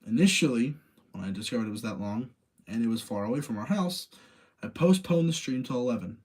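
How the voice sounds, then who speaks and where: A man's voice: medium pitch, lively intonation, brisk, a little mellow, breathy edge. Casual, apologetic man hosting a livestream. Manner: apologetic, rambling. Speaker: livestream host.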